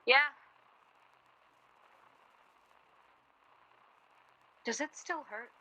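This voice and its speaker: A woman's voice: high voice, moderate pace, pushed and bright, low-quality recording. An actress playing a guarded, bitter and blunt young woman in a naturalistic radio play, arguing her points plainly. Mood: curt, subdued. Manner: blunt, argumentative. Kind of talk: radio play dialogue, naturalistic period drama.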